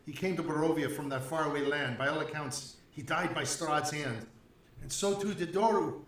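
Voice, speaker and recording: A man narrating as dungeon master in a halting way, with many fillers, in a room with several players. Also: fairly high pitch, a bit bright. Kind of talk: narrating a tabletop game. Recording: very echoey room, from far off, chatter.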